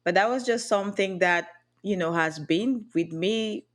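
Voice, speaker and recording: A woman's voice: mid-range voice, unhurried. A woman from Cameroon with a Cameroonian accent, speaking earnestly as a podcast guest and often restarting her sentences. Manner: earnest, with frequent restarts and light laughs. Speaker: podcast guest, booking agent. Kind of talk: reflective interview talk.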